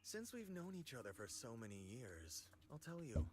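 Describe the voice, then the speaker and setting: Smug villain researcher, swooping delivery. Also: male voice, mid-range voice, wildly swooping pitch, normal speed, darkish tone, breathy edge, soft background music. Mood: smug taunting. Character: scornful, calculating villain researcher taunting an old acquaintance. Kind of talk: dramatic video game voice acting.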